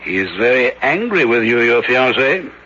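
An actor playing a shrewd, wry gentleman detective who speaks in a knowing, deliberate way in a radio play. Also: mid-range voice, big pitch swings, slight background noise. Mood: wry, amused. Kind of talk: radio drama acting. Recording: dull, lo-fi vintage radio recording.

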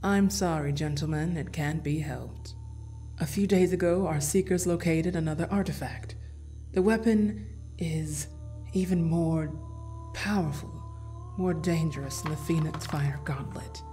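Grave female leader for a fantasy comic dub, acted by a woman; mellow tone, measured delivery, faint music beneath. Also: low voice. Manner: measured, solemn. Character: grave, composed female leader giving a briefing.

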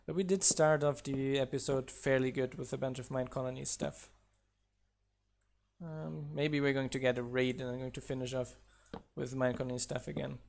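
A man's voice: medium pitch, fairly even intonation, normal speed, vocal fry, darkish tone, a little hiss or hum. A man whose first language is not English, a mod developer chatting casually while building in a live game stream, counting blocks aloud and laughing at his own mishaps.

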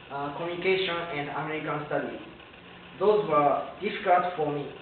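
A young man from Japan, a native Japanese speaker, giving a prepared student speech in careful, halting English with a Japanese accent. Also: on the high side. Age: young adult. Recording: tinny, echoey distant recording with faint noise.

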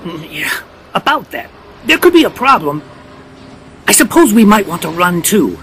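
An actor playing a flustered project scientist in a steampunk audio drama, halting and given to exclaiming. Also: mid-range voice, very animated, a bit bright, a little hiss or hum. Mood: halting, uneasy.

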